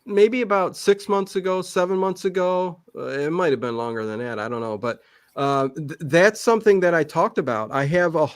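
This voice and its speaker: Guy with a slightly high voice just chatting, kinda rambly, backs up and restarts his thoughts a lot. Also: moderate pace, clear, resonant tone. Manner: rambling, hesitant, self-correcting. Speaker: guest, online old-time radio channel host. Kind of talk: casual interview chat.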